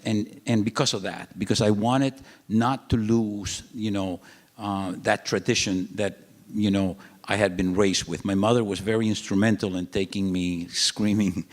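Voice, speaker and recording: An older man speaks on stage in a museum auditorium, his low voice carrying a long reverb. He rambles, circling back through fillers and restarts. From Latin America. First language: Spanish. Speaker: art collector, panel guest. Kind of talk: public onstage conversation.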